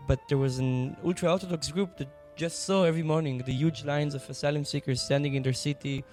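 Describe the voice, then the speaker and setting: A young man from Israel doing national service, answering interview questions in a soft, thoughtful, hesitant way. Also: a little high, steady tone, a little fast, soft background music. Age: young adult. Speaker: guest, aid worker.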